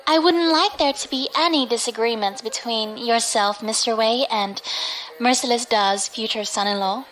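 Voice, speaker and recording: A woman's voice: a little high, moderate pace, fairly bright tone, natural voice, rough recording. An actress playing a poised, dangerous young woman in an audio drama, polite, assured and pointed. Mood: firm. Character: poised, deadly young daughter of a feared father.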